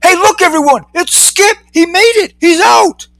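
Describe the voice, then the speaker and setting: A man's voice: very high voice, normal speed, a bit bright, dry recording, voice pushed up, low-quality recording. A man voicing gullible worker flies in a story, marveling and cheering. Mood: amazed, excited.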